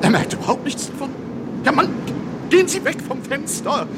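An actor playing a frantic bystander in an experimental radio play, a man pleading with high-strung urgency. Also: big pitch swings, moderate pace. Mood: panicked. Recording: distant voice in loud background noise.

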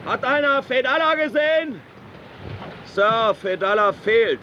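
A whaling-ship officer in a radio drama. He calls out urgently in a very high, drawn-out voice, dutiful to his captain. The sound is dull, with noisy sea ambience behind. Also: expressive, sharp tone, heavily acted. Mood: urgent, grim. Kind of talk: German radio drama acting.

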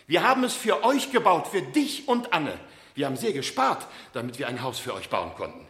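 A man's voice: a little high, big pitch swings, brisk, a bit bright. An actor playing an insistent, pleading middle-aged father in a German radio play. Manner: pleading, emphatic. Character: insistent, emotional father claiming his lost son.

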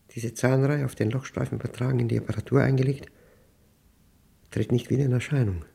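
An actor playing a brooding, obsessive mathematician who narrates his diary in a German radio play, speaking solemnly in deliberate, clipped fragments. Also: mid-range voice, creaky, dark tone, breathy edge. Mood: pensive, detached.

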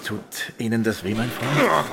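Lebhaft intonierender, beruhigender Notarzt. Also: male voice, mid-range voice, lively intonation, measured pace, vocal fry, heavy background noise. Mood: calm, soothing. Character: brisk, reassuring male doctor at an emergency. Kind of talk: German audio drama acting. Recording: loud vehicle ambience behind.

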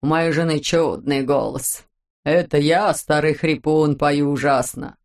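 A woman voicing a gentle old brain-eating husband who speaks slowly in a hoarse, wheezy voice. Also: sits low, pitched below the performer's own voice. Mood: soothing. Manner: slow and soft-spoken.

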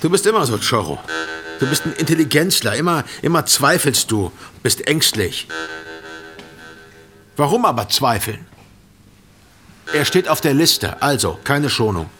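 An actor playing a zealous, hard-line peasant communist, accusing and emphatic in a radio drama dialogue. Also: male voice, medium pitch, very animated, a little slow, long pauses, sharp tone, soft background music. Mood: accusing, fanatical.

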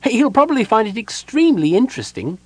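An excitable little kender wanderer from a fantasy storybook, pitched way up high. The delivery is naive, cheerful and eager, bouncing all over in pitch. It chatters along in a scatterbrained, easily distracted way, close and dry. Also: male voice, quick pace, slightly rough, no echo, full character voice. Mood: delighted. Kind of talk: audiobook character voice.

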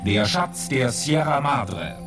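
A man playing an announcer who calls out titles deliberately and emphatically in a radio play. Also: medium pitch, very animated. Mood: emphatic, deliberate. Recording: 1970s radio play with loud music.

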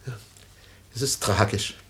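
A man's voice: measured pace, a bit bright, lightly acted. An actor playing a self-important, melodramatic middle-aged photographer and father in a 1950s German radio play. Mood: mournful. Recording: 1950s radio recording with noise, clicks.

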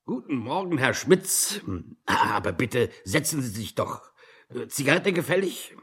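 An actor playing a brisk, self-important company director in a German radio drama, pompous and commanding. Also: male voice, medium pitch, very animated, fairly bright tone, breathy edge, heavily acted. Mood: jovial, smug. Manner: pompous, commanding, talks over others.

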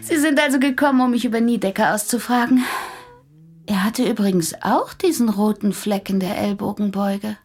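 An actress playing a confident, clever female psychiatrist in a radio drama, brisk and gently coaxing, with a breathy voice. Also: medium pitch, natural voice. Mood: teasing.

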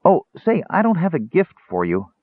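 A tinny, band-limited recording carries a man playing a small fantasy Kender, high and wildly animated. He is naive, earnest and eager, chattering like an excitable youngster in a storybook. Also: normal speed, slightly rough, dry recording, exaggerated voice. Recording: narrow tinny radio-like band.

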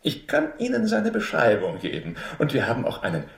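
An actor playing a brisk, self-assured, sly master detective in a radio drama, with clipped, theatrically casual delivery. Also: male voice, medium pitch, huge pitch swings, gravelly. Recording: echoey room in radio play.

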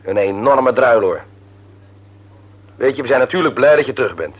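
An actor playing a stern, patronising man in authority in a radio drama, lecturing emphatically in a husky voice. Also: mid-range voice, lively intonation, moderate pace, highs cut off, natural voice. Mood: scolding. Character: stern, patronising older brother and works supervisor, adult man.

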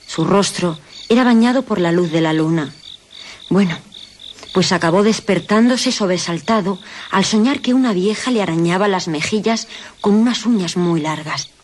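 An actress playing a mystical governess in a gothic radio drama, telling eerie lore in a solemn, theatrical way. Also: female voice, mid-range voice, a little hiss or hum, lightly acted. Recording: faint night insects behind.

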